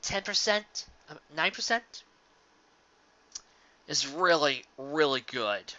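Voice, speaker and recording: A bright, forceful voice speaks slowly and earnestly to an audience. The delivery is halting and sentimental, leaning hard on key words with dramatic pauses. It sounds like a dull, lo-fi home recording. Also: mid-range voice, animated. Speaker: live reader of fan fiction. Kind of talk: earnest spoken commentary to an audience.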